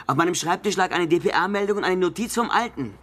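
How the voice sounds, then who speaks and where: An actor playing a defensive, huffy newspaper journalist who sounds indignant, in a radio drama. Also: male voice, high voice, lively intonation, brisk, a bit bright, a little hiss or hum. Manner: indignant, interrupting. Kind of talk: German radio drama acting.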